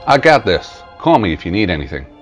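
An actor playing a steady male police officer in a horror comic dub. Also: sits low, a little fast, lo-fi sound, music in the background. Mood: resolute.

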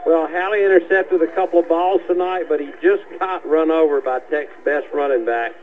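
A man's voice: a little high, moderate pace, phone-quality sound. A man giving folksy, colorful, conversational football commentary as a radio analyst on a headset. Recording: band-limited radio broadcast sound.

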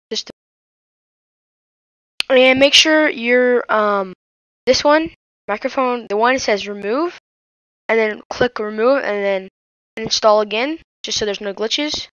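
Clear-voiced woman giving a casual tutorial. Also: on the high side, fairly bright tone, dry recording, dull audio. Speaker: host of a tech tutorial channel. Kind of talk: casual screen-recorded tutorial.

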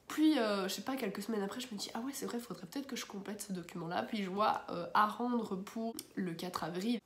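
A woman's voice: medium pitch, roomy sound. A young Belgian woman with a cold and blocked nose, chatting casually to camera in her bedroom, rambling with many fillers. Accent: Belgian French. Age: young adult. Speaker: vlogger. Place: bedroom at home, indoors.

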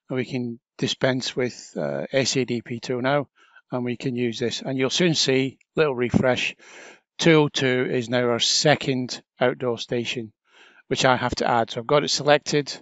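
A man narrating a software tutorial step by step in an informal, chatty, explanatory way. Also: mid-range voice, no echo, dull audio. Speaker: trainer.

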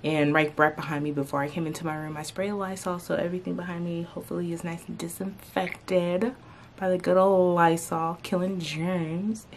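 A woman's voice: on the low side, flat delivery, normal speed, slight background noise. A young woman vlogging casually from her bedroom, talking close to the camera and rambling with lots of "like" and "um". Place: bedroom at home, indoors. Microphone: camera close in front of her face.

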